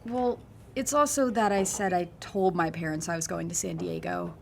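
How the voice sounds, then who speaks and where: A woman's voice: fairly low pitch, expressive, normal speed, gravelly, a little hiss or hum. An actress in an audio drama playing a shy, polite teenage girl hitchhiker, hesitant and self-effacing. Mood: nervous. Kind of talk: audio fiction drama acting.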